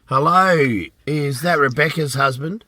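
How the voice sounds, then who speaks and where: A hoarse Australian man hosting a phone call, talking in a friendly, matey way. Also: fairly high pitch, unhurried, sharp tone, a little hiss or hum. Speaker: host. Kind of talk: phone interview.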